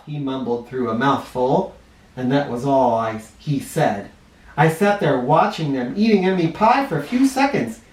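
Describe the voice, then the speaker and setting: Animated male storybook reader in an echoey room. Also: a little high. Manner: animated, dramatic emphasis, speaking directly to young listeners. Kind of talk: expressive read-aloud storytelling for children. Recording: roomy, echoing, somewhat distant microphone.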